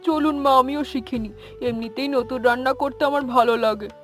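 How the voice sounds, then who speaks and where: A woman voices a village family woman in a cartoon. Her voice sits very high, with a caring, eager manner, and music runs faintly behind it. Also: moderate pace, fairly bright tone. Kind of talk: cartoon story dialogue.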